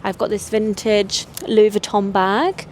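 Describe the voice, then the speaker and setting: A young woman talks easily to camera in a relaxed vlog, her pitch sitting in a middle range for a woman. She sounds chatty and upbeat, with plenty of casual fillers. Faint traffic noise hums behind her. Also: measured pace. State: voice going, getting sick. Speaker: vlogger.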